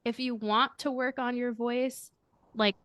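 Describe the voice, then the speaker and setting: A woman with a high, girlish voice answering interview questions, speaking earnestly and reflectively as a coach who leads a firm. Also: fairly even intonation, measured pace, fairly bright tone, no echo. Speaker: guest, coach and firm leader.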